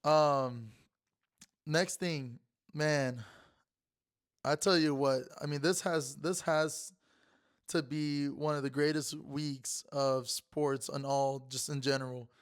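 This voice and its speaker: A young man with a naturally raspy voice that sounds hoarse from being sick, talking through a sports monologue in a loose, rambling way. He stumbles over words and repeats himself often, and his voice sits a bit higher than most men's. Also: fairly even intonation, slow. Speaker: sports show host. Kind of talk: casual sports commentary monologue.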